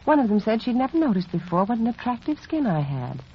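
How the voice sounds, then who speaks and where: A woman's voice: very animated, fast. A woman reading a scripted 1940s radio commercial as a beauty authority, earnest and confiding. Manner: earnest, confiding sales pitch. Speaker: beauty authority, advertising spokeswoman. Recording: dull, noisy vintage 1940s radio recording.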